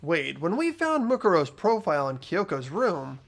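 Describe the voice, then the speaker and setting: A man's voice: a little high, sing-song, normal speed, gravelly, full character voice. A man voicing an earnest, hopeful teenage boy in a game playthrough, with a questioning manner. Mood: puzzled. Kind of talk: voiced video game playthrough, dialogue and inner narration.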